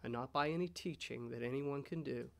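An American man in his thirties preaching a sermon as a pastor in a measured, pausing way. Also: mid-range voice, creaky. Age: 30s. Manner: measured, pausing, rhetorical questions. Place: church sanctuary, indoors. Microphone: gooseneck pulpit microphone.

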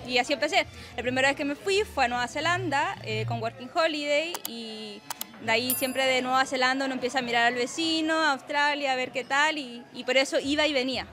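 A woman from Chile with a Chilean Spanish accent, telling her story lively into a handheld mic. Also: sits high, fairly quick. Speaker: interviewee. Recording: music in the background.

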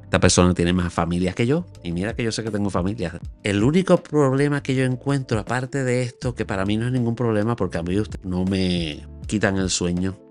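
An older Puerto Rican man delivers earnest, scolding commentary, his voice on the low side. He speaks close into a studio mic, gesturing as he talks. Faint music plays softly behind him. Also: a little fast, hardly any pauses. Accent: Puerto Rican Spanish. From Puerto Rico. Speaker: YouTube commentator. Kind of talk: opinionated solo commentary. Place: small room at home, indoors. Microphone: large studio mic on a boom, close up.

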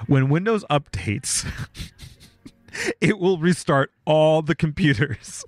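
A man telling stories on a podcast, with a lively voice that swings up and down as he goes. He laughs a lot and swears without a second thought. The sound is close and dry. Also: a little high, takes it slowly, dry recording. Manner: laughs often, swears freely, animated storytelling. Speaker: podcast co-host. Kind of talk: casual chat, reading out stories.

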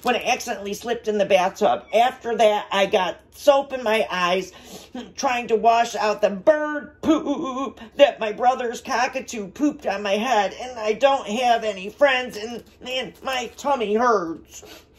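A storybook reader voicing an upset little boy who rattles off his troubles in a high, whiny run-on. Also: a little slow, natural voice.

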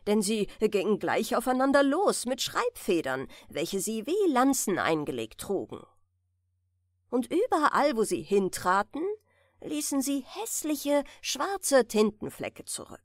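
Woman, soft soothing storyteller, audiobook reading, very slow pace, dry close recording. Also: fairly high pitch, no echo. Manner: gentle, soothing, unhurried delivery. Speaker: audiobook narrator.

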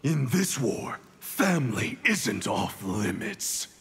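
A proud villainous ninja master in a fighting game. The voice is extremely gravelly and raspy, slow and commanding, full of cold menace. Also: male voice, medium pitch, very animated, a bit bright, full character voice. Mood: cold, menacing. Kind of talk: dramatic fighting game dialogue.

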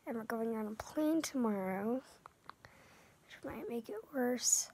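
A woman's voice: on the high side, lively intonation, a little slow, mellow, a little hiss or hum. A young girl with a sore throat and runny nose, whispering and chatting as she co-hosts a casual vlog from bed, filmed on a phone held at arm's length. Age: child. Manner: chatty, rambling, often whispering. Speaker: vlog co-host. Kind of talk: casual vlog chat to camera. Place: bedroom, lying in bed. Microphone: phone front camera at arm's length.

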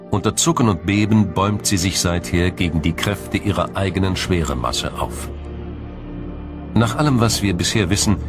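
A very low male voice narrates a science documentary from a script. The delivery is measured and dramatic, with faint music underneath.

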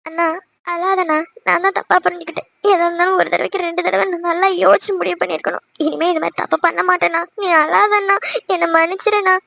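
High-voiced, meek teenage sister played by a woman. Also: fairly even intonation, fast, creaky, pushed and bright, no room sound, exaggerated voice. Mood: apologetic, pleading. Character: remorseful lovestruck teenage younger sister, timid and pleading. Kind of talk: melodramatic family audio drama.

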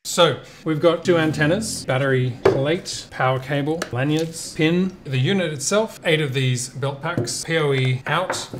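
A man's voice: a little high, measured pace, few pauses, loud music behind the voice. A man in his thirties with an Australian accent, talking casually through a tech review as a vlogger. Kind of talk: casual unboxing and review narration.

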